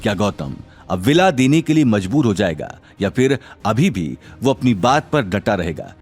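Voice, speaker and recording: A man's voice: fairly low pitch, very animated, normal speed, darkish tone, husky, faint music behind. A man narrating a scripted drama serial in a dramatic storytelling style.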